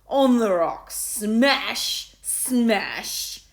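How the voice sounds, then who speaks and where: A woman voicing a schoolboy bully who taunts slowly and savours every threat. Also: mid-range voice, expressive, pitched above the performer's own voice. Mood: gloating. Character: gloating bully schoolboy who relishes violence.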